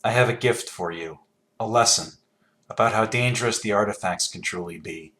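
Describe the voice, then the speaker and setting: An actor playing a solemn, cryptic man in a video game, speaking in a measured, portentous way. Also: fairly low pitch, a bit bright, lightly acted. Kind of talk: video game character dialogue.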